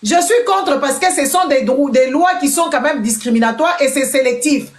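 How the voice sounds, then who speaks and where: A woman's voice: high-pitched, lively intonation, moderate pace. A woman from Congo arguing insistently in a live political debate, switching between Lingala and French. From Congo (DRC). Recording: roomy echo.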